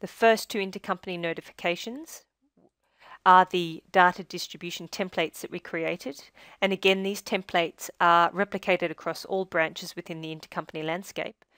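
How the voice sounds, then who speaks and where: A woman reading a scripted software tutorial as demo narrator, in a measured, instructional manner with phrase endings that trail down. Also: on the low side, fairly even intonation, clear, resonant tone, no room sound.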